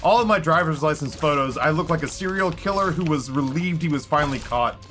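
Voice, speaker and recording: Hoarse, rambling male streamer. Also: fairly high pitch, animated, a little fast, fairly bright tone. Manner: rambling, self-mocking. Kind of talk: live gameplay commentary. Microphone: headset with headphones.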